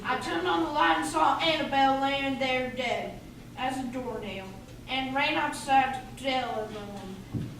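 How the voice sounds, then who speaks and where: A woman's voice: high-pitched, measured pace, a bit bright. A woman playing a belligerent drunken man in an amateur radio play. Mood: boozy. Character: drunk, belligerent hothead man, whining and loud. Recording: very echoey room, distant mic, faint hiss.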